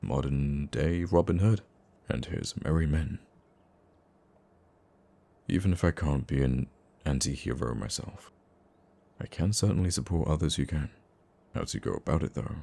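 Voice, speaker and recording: A man playing a gruffly caring, teasing supervillain who comforts a nemesis in an intimate ASMR roleplay, with a deep, gravelly voice. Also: exaggerated voice. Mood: reflective, tender. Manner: soft-hearted, teasing, halting when flustered.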